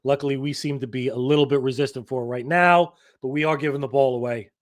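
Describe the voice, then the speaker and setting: A man's voice: mid-range voice, fairly even intonation, quick pace. A man co-hosting a football watchalong in a laid-back, casual way, often tacking "man" onto his sentences. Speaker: co-host. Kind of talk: casual match chat.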